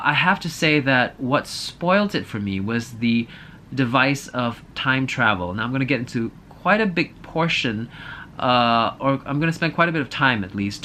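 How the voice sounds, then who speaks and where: A man's voice: medium pitch, sharp tone, slight background noise. A man giving a casual, opinionated movie review, thinking aloud in a hesitant, apologetic way.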